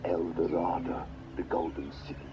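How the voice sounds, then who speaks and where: An actor playing a sly South African film villain under interrogation, heard through noisy replayed audio. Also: male voice, low-pitched, level tone, normal speed, lo-fi sound, natural voice. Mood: taunting. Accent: South African, imitated. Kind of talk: film drama dialogue.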